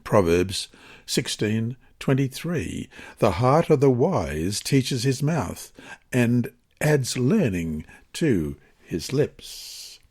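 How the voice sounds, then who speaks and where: A man reading a scripted religious lesson and scripture passages in a measured, formal, expressive manner. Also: mid-range voice, breathy edge. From Australia. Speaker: Bible lesson reader.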